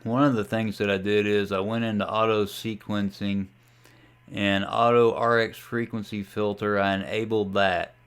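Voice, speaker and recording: A man giving a casual, folksy tutorial as a ham radio hobbyist, talking through a software demo in an unhurried way. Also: on the low side, flat delivery, clean tone. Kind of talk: casual screen-share tutorial.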